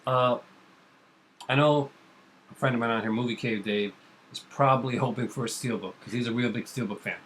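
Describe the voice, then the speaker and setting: Middle aged guy chatting fast on a vlog, excited and rambly, bit of room noise. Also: mid-range voice. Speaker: vlog host. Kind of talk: casual chat about comics and horror films. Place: home kitchen.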